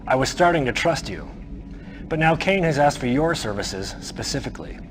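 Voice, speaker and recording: A man plays a condescending militant officer in a video game briefing. His mid-pitched voice swings widely in tone, theatrical and deliberate, full of smug menace, with clear music playing behind him. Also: expressive, lightly acted. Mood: resentful, sarcastic.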